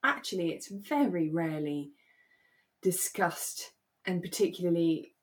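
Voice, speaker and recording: A woman with a voice a little on the low side chats as a podcast co-host. She is casual and conversational, putting her opinions across with emphasis as she discusses a drama. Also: animated, measured pace. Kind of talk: casual chat reviewing a radio drama.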